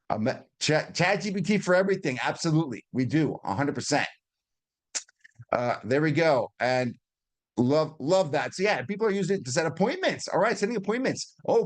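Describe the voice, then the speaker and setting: A middle-aged man giving an informal webinar presentation on a video call, explaining in a conversational teaching manner, repeating words and checking in with listeners. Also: on the high side. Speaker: guest presenter at a business webinar.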